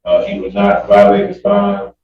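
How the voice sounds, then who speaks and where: A man speaking as a defense lawyer pleading to a judge, hesitant, halting and deferential, recorded in a courtroom. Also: on the low side, big pitch swings. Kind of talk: spontaneous plea to a judge in court. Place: indoor courtroom. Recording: muffled, echoey courtroom, distant mic.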